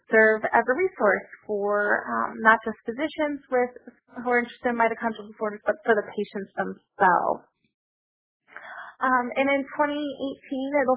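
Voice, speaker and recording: A woman's voice: a little high, gravelly. A woman giving a prepared company update on a conference call, with frequent "um" fillers. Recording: phone-line audio with faint hiss.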